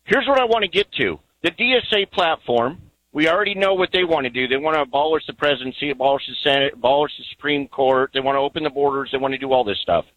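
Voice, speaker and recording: A man's voice: mid-range voice, fast talker, fairly bright tone, no echo, highs cut off. A man calling in to a talk radio show, earnest and rambling, with many ums. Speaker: caller.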